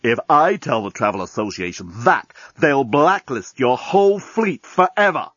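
Outraged male passenger for a comic audiobook, read by a male narrator: husky, swinging widely in pitch, full of bluster. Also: very animated, normal speed, fairly bright tone, no echo, lo-fi sound. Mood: blustering outrage. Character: indignant, blustering human man.

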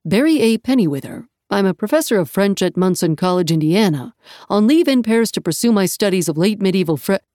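Woman voicing a flustered, insistent American professor, animated. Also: mid-range voice, fairly quick, dry recording, clean studio sound, natural voice. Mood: formal. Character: modest, bewildered, tall American male professor.

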